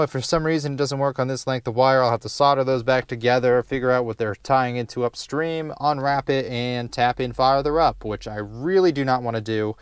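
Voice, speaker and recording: Clear-voiced young man, casual and dry-sounding room. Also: medium pitch, dry recording. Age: young adult. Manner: wry, self-deprecating. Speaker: DIY car project presenter. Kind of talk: casual how-to vlog narration. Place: inside a parked car.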